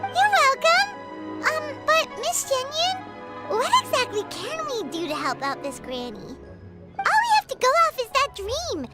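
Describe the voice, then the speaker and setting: A woman's voice: very high-pitched, sing-song, unhurried, loud music behind the voice, full character voice. A woman voicing a tiny, eager, childlike fairy companion in a video game, full of exclamations. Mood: curious, helpful. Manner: refers to self in third person, exclaiming. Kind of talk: scripted video game dialogue.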